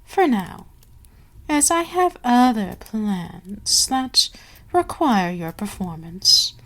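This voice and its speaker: A woman playing an imperious, seductive and menacing vampire queen in an ASMR audio roleplay, haughty and deliberate, with some words sinking into a whisper. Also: mid-range voice, very animated, a bit bright, exaggerated voice. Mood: commanding, menacing. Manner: slow, deliberate, haughty, commanding, dropping into whispers.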